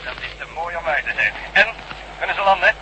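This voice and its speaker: Actor as high-voiced serious officer on radio. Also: male voice, expressive, fast talker, natural voice. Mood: businesslike. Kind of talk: Dutch radio drama acting. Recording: noisy radio transmission in drama.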